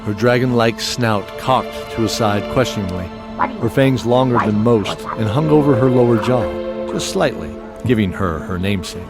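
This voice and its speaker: A man's voice: on the low side, normal speed. A man reading scripted narration as the narrator of an audio fiction show. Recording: clear music bed behind voice.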